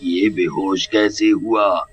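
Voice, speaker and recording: A deep-voiced village man, put on by a male narrator, practical and steady. He talks extremely slowly and ponderously in a story cartoon, over music. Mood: concerned, practical.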